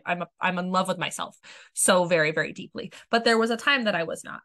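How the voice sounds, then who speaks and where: Raspy-voiced woman hosting a podcast with a cold. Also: mid-range voice, a bit bright. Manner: candid, chatty, swears freely. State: hoarse from a cold. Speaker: podcast host. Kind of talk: casual spiritual self-help podcast talk. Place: home room with dogs.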